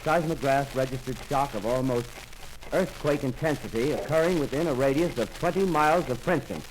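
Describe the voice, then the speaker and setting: An actor playing a polite, brisk radio field reporter describing a scene live in a 1930s radio drama. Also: male voice, mid-range voice, a little hiss or hum, natural voice, poor audio. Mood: earnest.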